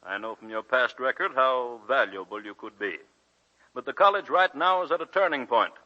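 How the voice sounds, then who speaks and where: An actor playing a stern, demanding university president in a 1940s radio drama. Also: male voice, medium pitch, lively intonation, normal speed, pushed and bright. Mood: firm, insistent. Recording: dull 1940s radio broadcast.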